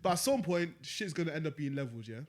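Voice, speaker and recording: A man's voice: fairly high pitch, big pitch swings, fast. A man, a podcast co-host in casual chat, blunt and sweary as he hands out advice. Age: 20s.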